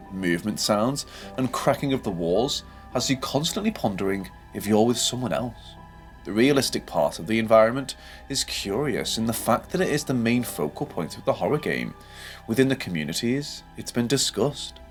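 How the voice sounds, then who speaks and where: A man narrating a scripted horror game video essay with a dramatic, storytelling delivery, posing rhetorical questions. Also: medium pitch. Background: background music.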